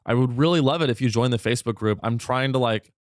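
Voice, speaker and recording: A man's voice: fast talker, no room sound, studio quality. A man hosting his own podcast in a rambling, irreverent comedic monologue, swearing freely, his voice wearing thin as he loses it. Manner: rambling, irreverent, swearing, with sudden high pitch jumps. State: losing his voice. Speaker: podcast host.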